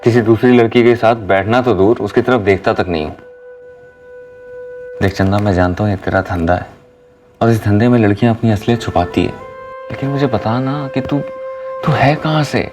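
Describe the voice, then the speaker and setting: An actor playing a lovesick truck driver in a TV crime drama, earnest, blunt and insistently pleading. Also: male voice, on the low side, normal speed, pauses often, darkish tone, recorded from a distance, faint music behind, close to an everyday voice. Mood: frustrated, pleading.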